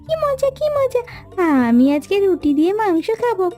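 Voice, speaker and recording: A woman's voice: very high voice, very animated, normal speed, mellow, soft background music. A woman voicing an eager little village girl in a cartoon folk tale, in a childlike manner. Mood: delighted.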